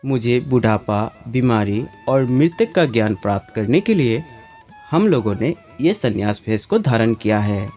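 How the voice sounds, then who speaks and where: A man narrates as a serene monk teacher from a moral storybook. He preaches in a measured way at a middle pitch, with varied intonation and music behind. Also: animated, darkish tone, close to an everyday voice. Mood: calm, teaching. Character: serene wise male monk teacher, calmly instructive. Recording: background music under the voice.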